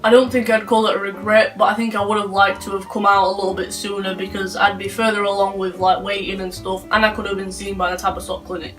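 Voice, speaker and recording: A young man, giving calm, earnest answers as an interview guest. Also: high-pitched, quick pace, few pauses, distant mic, faint music behind. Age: late teens.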